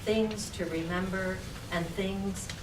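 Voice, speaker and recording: Slow-paced woman reciting poetry, distant mic. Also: mid-range voice, steady tone. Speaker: poet reading own poem. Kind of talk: poetry recitation at a live reading. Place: public library reading room. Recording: roomy, distant mic, noisy background.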